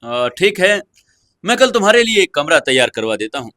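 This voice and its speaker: A male narrator plays a conciliatory CEO husband in an audio drama. He is restrained and earnest, with a bright, lifted voice recorded very dry. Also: fairly high pitch, big pitch swings, no room sound, voice pushed up. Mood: resigned. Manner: gentle, measured, earnest. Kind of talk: Hindi audio story dialogue.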